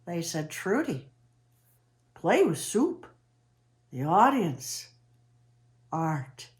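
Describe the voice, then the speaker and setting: A woman playing an eccentric, awestruck character in a theatrical comic monologue, telling her story with dramatic emphasis and pauses. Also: lowish voice, very animated, full character voice. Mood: confiding, amazed. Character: eccentric, awestruck woman named Trudy who chums with space aliens.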